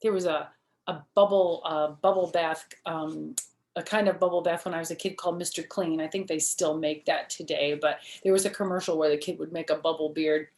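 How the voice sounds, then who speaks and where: A woman's voice: sits low, fairly even intonation, brisk, a little mellow. An older woman, a grandmother, reading aloud to children in a chatty storytime style and putting simple questions to her listeners.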